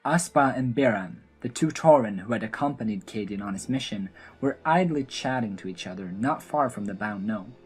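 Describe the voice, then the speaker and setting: Casting: female storyteller, very deep and husky, lively intonation, scripted fantasy narration with faint music behind. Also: normal speed, few pauses.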